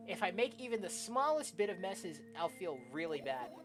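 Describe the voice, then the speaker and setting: A woman gaming streamer with a fairly low, very expressive voice reads game text aloud in a casual, playful way. She swears freely and slips into dramatic line readings, with music clearly playing behind her. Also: moderate pace. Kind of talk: casual let's play, reading game dialogue aloud with side comments. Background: video game music.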